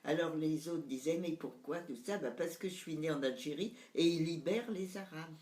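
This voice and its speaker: Very old lady telling family stories, halting, hunting for words, echoey room. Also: low-pitched, a little mellow. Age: 90s. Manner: reminiscing, hesitant, searching for words. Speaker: mother recalling family memories. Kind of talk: casual family conversation.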